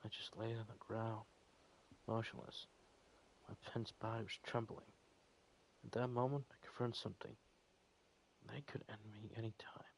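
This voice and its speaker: A man reading visual novel text aloud in an amateur, slightly halting way. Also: on the low side, little pitch movement, vocal fry, slight background noise, poor audio. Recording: loud music over voice. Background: loud piano music from the game.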